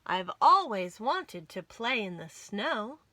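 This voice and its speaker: A woman's voice: medium pitch, sing-song, slow pace, background noise. A woman voicing a small, innocent male toy bear with wide-eyed wonder in a children's storybook. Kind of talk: children's storybook read-aloud.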